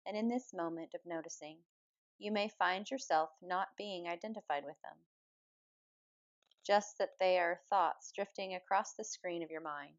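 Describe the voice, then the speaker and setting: A woman leading a guided yoga nidra relaxation as the teacher, speaking in a calm, measured, soothing manner. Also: fairly low pitch, muffled recording. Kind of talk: guided meditation, slow spoken relaxation instruction.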